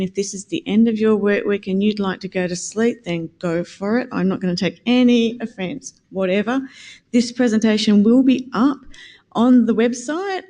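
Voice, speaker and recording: A woman with a naturally quiet voice presents at a conference, talking to a room of nurses through a microphone she sometimes holds a bit too far away. Her delivery is informal and conversational, with small self-corrections and asides to the audience. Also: mid-range voice. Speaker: conference speaker, nurse educator. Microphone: handheld or stand microphone, at times held away.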